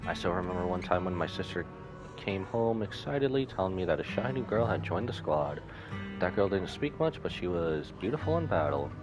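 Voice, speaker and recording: Streamer reading as a low-voiced, gentle grieving brother. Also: male voice, low-quality recording. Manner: gentle, reassuring. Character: kind grieving brother of a fallen soldier. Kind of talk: game dialogue read aloud by a streamer. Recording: music behind the voice.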